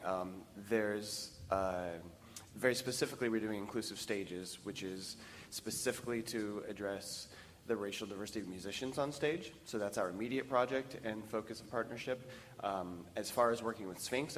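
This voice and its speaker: A man moderating a panel, pausing often with fillers as he talks. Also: lowish voice, slight background noise. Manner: halting, many fillers. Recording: long hall reverb.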